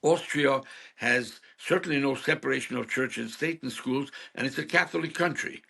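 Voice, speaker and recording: Gravelly older man. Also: medium pitch, normal speed, sharp tone. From Hungary. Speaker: guest. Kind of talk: storytelling interview.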